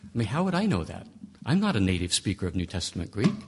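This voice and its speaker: A man's voice: on the low side, big pitch swings, fast talker, a little mellow, a little hiss or hum. A man giving a conversational lecture on reading scripture, emphatic and fond of rhetorical questions, often restarting his phrases. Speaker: Bible teacher.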